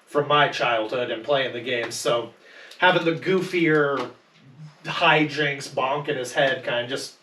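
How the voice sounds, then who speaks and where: A man's voice: mid-range voice, slow, pushed and bright. A man on a podcast panel talking casually about films, enthusiastic and full of opinions. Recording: roomy echo, distant mic, faint noise.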